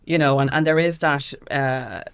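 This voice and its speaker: A woman's voice: extremely low pitch, normal speed, smooth. Candid, emphatic Irish woman in conversation. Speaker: guest, activist and music consultant. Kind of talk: conversational interview answers.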